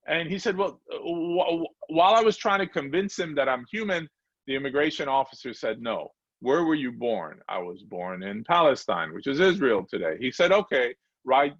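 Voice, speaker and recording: A man's voice: a bit bright, no echo. A man giving a lecture at a faith community program, telling stories earnestly and lifting his voice for the people he quotes. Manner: earnest, storytelling, raises pitch for quoted voices. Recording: dry, slightly dull lo-fi audio.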